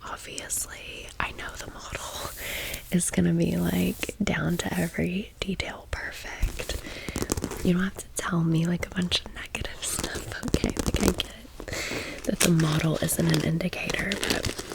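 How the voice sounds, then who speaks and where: A woman's voice: lowish voice, level tone, unhurried, vocal fry, rough recording. A woman in her thirties whispering a casual ASMR storytime in chatty, slangy millennial phrasing. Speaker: ASMR creator.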